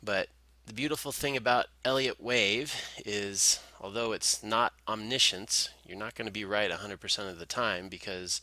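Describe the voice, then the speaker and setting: Male analyst, lowish pitch with a very sharp, bright edge, informal tutorial delivery, close and dry. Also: normal speed, few pauses, no room sound. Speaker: market analyst presenter. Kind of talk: informal screen-share chart commentary.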